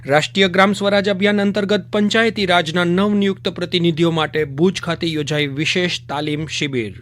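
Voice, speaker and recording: A male radio news presenter. His voice sits somewhat high as he reads a scripted bulletin. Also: fairly even intonation, brisk, loud music behind the voice.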